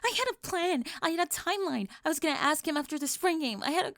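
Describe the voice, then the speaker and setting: Woman playing a high-pitched, flustered, dramatic teenage bully girl. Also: expressive, quick pace, a bit bright, no room sound, studio quality, full character voice. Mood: exasperated. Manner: melodramatic, defensive, flustered stammering. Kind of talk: ASMR audio roleplay acting.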